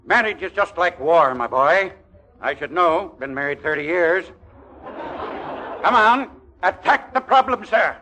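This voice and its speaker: An actor playing a bossy, jovial middle-aged army colonel with a pompous, commanding manner in a 1940s radio comedy. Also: male voice, sits high, expressive, unhurried, long pauses, bright, forceful tone, a little hiss or hum, exaggerated voice. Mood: blustery, avuncular.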